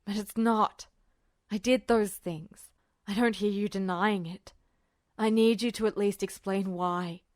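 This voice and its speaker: A woman voicing a troubled, guilt-ridden young soldier, speaking low and strained in a podfic reading. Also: dry recording, studio quality, natural voice.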